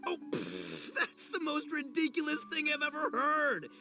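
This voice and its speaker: A man's voice: very high voice, very animated, unhurried, music in the background, full character voice. A man voicing a brash, boastful but good-hearted young oni in a video game, goofy and slangy. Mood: indignant.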